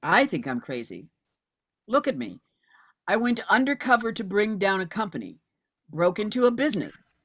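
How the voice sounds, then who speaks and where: A woman's voice: medium pitch, big pitch swings, moderate pace, pauses often, fairly bright tone, breathy edge, heavily acted. A woman in a screenplay table read, playing a stubborn, terse widowed mother turned amateur investigator. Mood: agitated, despairing.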